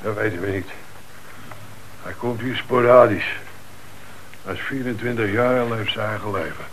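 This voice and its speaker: A man's voice: on the low side, steady tone, moderate pace, natural voice. An actor in a Dutch radio play playing a middle-aged father, polite and resigned, giving short, weary answers. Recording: noisy old radio play recording.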